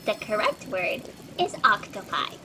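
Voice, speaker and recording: A woman voicing a flustered, literal-minded fact checker creature with fussy, halting delivery in a sci-fi satire audio drama. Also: mid-range voice, sing-song, bright, forceful tone. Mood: fussy, eager. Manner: halting note-to-self speech, fussy, pedantic. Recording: noisy home video-call audio.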